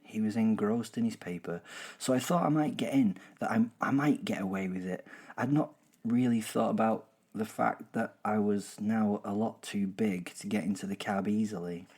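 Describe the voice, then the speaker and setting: A man playing a gleeful, boastful werewolf in a horror comedy audio drama, telling of the hunt in a chatty, digressive way with husky drops toward a whisper. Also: on the low side, normal speed, a little mellow. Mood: amused, conspiratorial. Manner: chatty, digressive, self-interrupting, darkly jokey. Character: gleeful, boastful male werewolf killer recounting his hunt.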